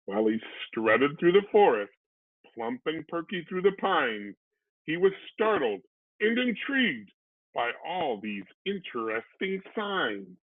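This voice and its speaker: Guy reading a kids book out loud, really expressive, bit husky, dry thin phone sound. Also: a little high, a little slow, no echo, narrow, tinny audio. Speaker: storybook reader. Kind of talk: expressive children's read-aloud narration.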